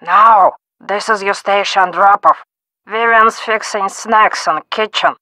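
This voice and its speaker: A woman playing a blunt, morbid elderly woman in a video game, speaking in a deliberate, matter-of-fact way. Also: medium pitch, sing-song, gravelly, pushed and bright, no echo, exaggerated voice, poor audio. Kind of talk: video game character acting.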